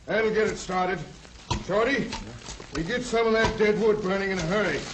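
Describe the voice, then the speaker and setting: Very high-voiced bossy outlaw leader, played by a man. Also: normal speed, natural voice. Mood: urgent, bossy. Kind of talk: old-time radio western acting. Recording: noisy old radio drama recording.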